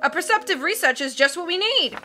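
A woman voicing a loud, hasty male submarine captain who cries out his lines and rambles, reading a storybook aloud. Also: very high voice, lively intonation, fairly quick, faint music behind, pitched above the performer's own voice, full character voice. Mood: hearty bluster. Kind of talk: read-aloud storybook acting.

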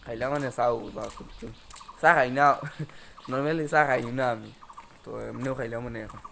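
A man's voice: medium pitch, normal speed, gravelly, pushed and bright, slight background noise. A young man with a Sylheti accent chatting casually to viewers as he vlogs outdoors on a phone, often repeating his phrases. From Sylheti-speaking Karimganj area, India. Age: young adult. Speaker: vlogger. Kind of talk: casual chat to camera. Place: outdoors on village roads. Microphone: phone at arm's length. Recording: music under an outdoor phone recording.

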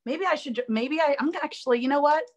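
A woman with a somewhat high voice leads a live online drawing class, talking casually as she works. Her voice is a touch raspy from allergies, and she thinks aloud in an upbeat, chatty way, often restarting her sentences. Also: fast, lo-fi sound. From Florida. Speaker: art instructor.

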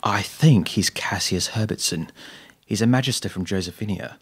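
An actor as an earnest man recounting his own adventure in an audio drama. His voice is very deep, and he tells the story as scripted narration. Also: normal speed, breathy edge. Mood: hushed realization. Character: male companion and storyteller, earnest, easily frightened.

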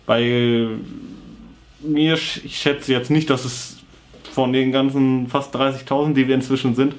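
A young man speaks casually to his camera as a vlogger. He has a cold and a sore throat, and his medium-pitched voice stays offhand and slangy. He is recorded indoors in a small room, a short distance from the camera. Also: flat delivery, measured pace. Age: young adult. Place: small bedroom with computer desk, indoors.

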